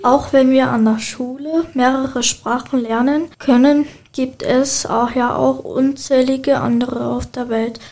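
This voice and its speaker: A woman's voice: sits high, fairly even intonation, unhurried, few pauses, a little mellow. A teenage girl reading a youth radio report from a script, evenly and steadily.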